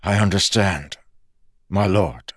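A man's voice: sits low, slow, no room sound, clean studio sound. A man voicing a bold, wry and defiant male hero.

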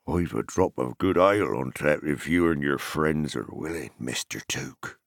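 A man voicing a gruff, middle-aged hobbit farmer in a fantasy audiobook, blunt and rustic, suspicious yet hospitable. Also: rumbling low, animated, moderate pace, no room sound, studio quality, heavily acted. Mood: grumbling, confiding. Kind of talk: dramatized fantasy audiobook character.

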